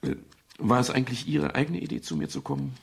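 A man playing a polite but persistent, weary private detective in a 1970s German radio crime drama, with a husky, gravelly voice. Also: mid-range voice, quick pace. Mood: questioning. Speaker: actor, lead and narrator.

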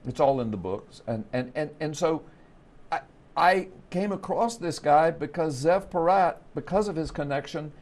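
A man's voice: fairly high pitch, normal speed. A middle-aged man from the US Gulf Coast, a pastor and author, telling a story animatedly on a TV studio panel with a lapel mic. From United States Gulf Coast. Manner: animated storytelling, gesturing with his hands. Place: TV studio set.

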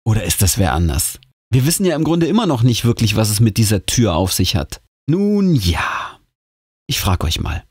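A man's voice: lowish voice, sing-song, normal speed, darkish tone, breathy edge, dry recording, clean studio sound. A man reading a scary story aloud as host, in a theatrical, suspenseful audiobook manner.

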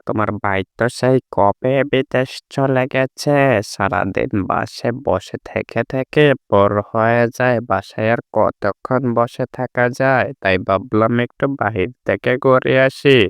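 A man's voice: mid-range voice, measured pace, gravelly, smooth, no room sound, clean studio sound. A man voicing a chatty, gullible cartoon crow who exclaims and grumbles to himself in colloquial speech. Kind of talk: cartoon character dialogue.

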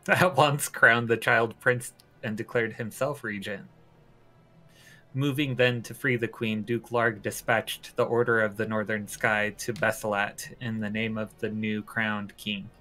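A woman's voice: rumbling low, fairly even intonation, unhurried, fairly bright tone, voice pushed down, low-quality recording. A woman voicing a solemn, formal storyteller narrating a war chronicle for a video game. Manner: grave, formal.

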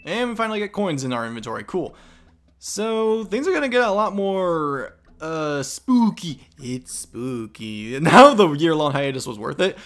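A man's voice: a little high, sing-song, measured pace, fairly bright tone. A young man casually commenting as he plays a game, rambling and self-mocking. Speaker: let's play host.

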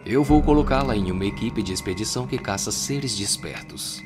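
Anime dub role: man plays sly, condescending Brazilian male agent, lowish voice, measured and mocking, music behind. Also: animated, natural voice. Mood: calm, condescending. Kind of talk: dramatic anime fan dub.

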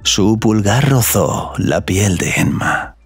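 A man's voice: on the low side, animated, slow pace, creaky, breathy edge, no room sound. A man narrating a scripted romantic audio story as a storyteller. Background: music.

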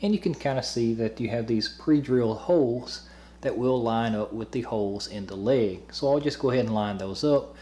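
Mellow-voiced young man explaining assembly. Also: mid-range voice, normal speed. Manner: plain, matter-of-fact explaining. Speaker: host of a review channel. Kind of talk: instructional product assembly voice-over.

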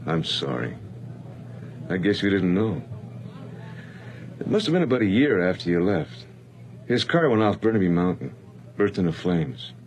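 A man's voice: sits low, sing-song, moderate pace, pauses often, slight background noise. An actor playing a talkative, effusive salesman and old friend in a radio drama. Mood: sombre. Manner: effusive, chatty. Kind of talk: 1980s radio drama acting.

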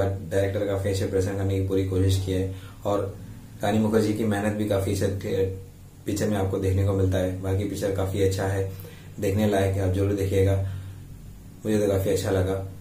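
An Indian man in his thirties with a slight cold in his throat, reviewing a film casually and haltingly as a video host. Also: low voice, warm tone, slight background noise. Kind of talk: casual movie review to camera. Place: indoors before a green screen. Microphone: lapel mic. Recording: roomy echo, distant-sounding mic.

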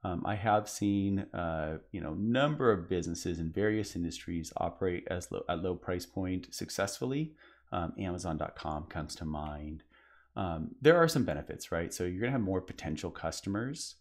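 A man's voice: sits low, lively intonation, normal speed. A man presenting a conference session, explaining in a casual way with frequent fillers and restarts. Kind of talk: business presentation.

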